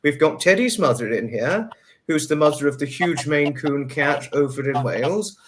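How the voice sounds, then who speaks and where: A man's voice: on the high side, lively intonation, moderate pace, fairly bright tone, a little hiss or hum. A man hosting a livestream chat, polite, a little formal and apologetic in manner. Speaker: livestream host. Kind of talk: casual chat over a livestream.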